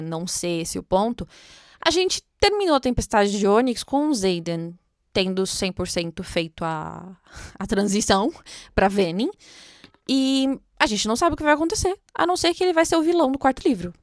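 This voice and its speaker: A woman's voice: medium pitch, animated, normal speed, no room sound, studio quality. A woman with a Brazilian accent hosts a book read-along, commenting casually and thinking aloud in an informal, chatty way.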